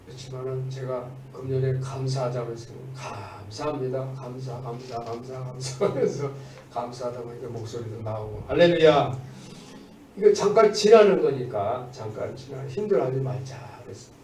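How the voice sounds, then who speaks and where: A man's voice: medium pitch, moderate pace, dark tone, a little hiss or hum. A man preaching a Sunday church sermon as a pastor, exhorting his listeners in a manner that moves between emphatic proclamation and conversational asides. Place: indoors at a church service. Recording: echoey church room, distant mic.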